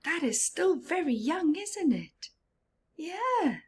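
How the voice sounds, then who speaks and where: A woman storyteller reading a picture book to children expressively, with dramatic emphasis, drawn-out words and hushed, chatty asides. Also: extremely high pitch, sing-song.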